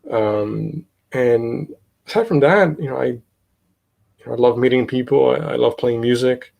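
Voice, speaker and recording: Young guy, kinda gravelly, lots of ums and restarts in a casual interview. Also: medium pitch, a little mellow. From Italy. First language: Italian. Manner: halting, many fillers and restarts. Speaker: guest, podcast host.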